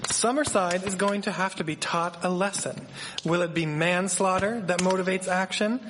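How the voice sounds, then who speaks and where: A man's voice: sits high, fairly even intonation, moderate pace, natural voice. A man playing an earnest, civic-minded Victorian newspaperman, declaiming in a live radio drama. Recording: echoey hall, noisy with clicks.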